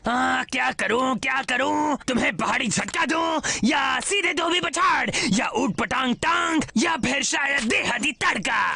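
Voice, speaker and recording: A very high, creaky cartoon big brother, who bullies and gloats. The delivery is theatrical and taunting, swinging widely in pitch. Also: expressive, a little slow, few pauses, bright, forceful tone, exaggerated voice. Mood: taunting, menacing. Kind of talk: exaggerated Hindi cartoon dub acting.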